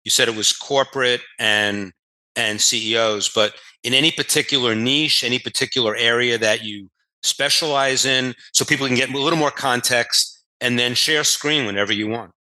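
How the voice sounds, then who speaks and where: Bright, rambling male interview host. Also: medium pitch, normal speed, rough recording. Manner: rambling, restarts questions, enthusiastic.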